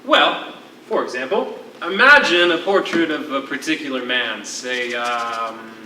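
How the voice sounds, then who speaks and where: An actor playing a lyrical, wistful former poetry teacher held as a prisoner, speaking with theatrical eloquence in a stage play. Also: male voice, medium pitch, pushed and bright. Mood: sly, amused. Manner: eloquent, theatrical, measured storytelling. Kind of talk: live one-act stage play. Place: theatre stage, recorded from a distance. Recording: echoey, distant stage recording with faint noise.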